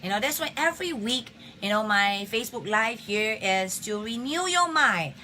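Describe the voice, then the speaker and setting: A woman hosting a live health show in a husky voice with a bright, forward edge. She chats loosely, greets viewers by name and fills gaps with "you know" and "um". A faint room ambience sits under her voice. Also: a little high, animated, moderate pace, slight background noise. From Singapore. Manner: chatty, greets viewers by name, many fillers. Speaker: health talk show host. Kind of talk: casual live-stream talk. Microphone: phone or webcam on a live stream.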